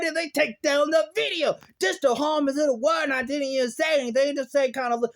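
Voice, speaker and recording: A very high, bright, squeaky voice rants in a rambling vlog. The agitated complainer exclaims, repeats phrases and stretches words out. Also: male voice. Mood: indignant. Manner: exclamatory, repetitive, drawn-out words. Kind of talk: rambling solo rant.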